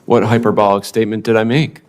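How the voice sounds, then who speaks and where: A man's voice: lowish voice, level tone, fast. A man testifying as a witness in a conversational but challenging manner, often answering with questions. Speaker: hearing witness. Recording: clear background noise.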